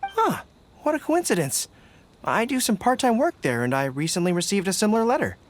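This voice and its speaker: A man's voice: high-pitched, sing-song, a little fast, a little hiss or hum. A man voicing a friendly, curious young islander in an anime game. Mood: surprised.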